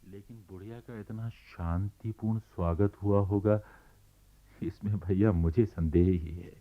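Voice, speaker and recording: An actor playing a wry, measured storyteller narrating a radio play. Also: male voice, on the low side, fairly even intonation, lightly acted. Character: wry, sceptical male storyteller, slow and measured. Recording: muffled, phone-like archival radio recording.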